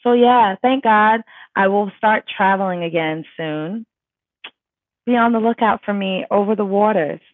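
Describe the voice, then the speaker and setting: A woman's voice: mid-range voice, a little slow. Lady voice coach answering chat questions live, chatty and emphatic, thin phone-ish sound with no room echo. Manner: chatty and emphatic, many fillers and self-corrections. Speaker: vocal coach. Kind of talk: casual livestream Q&A, reading viewer questions aloud. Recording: tinny dry phone-like sound.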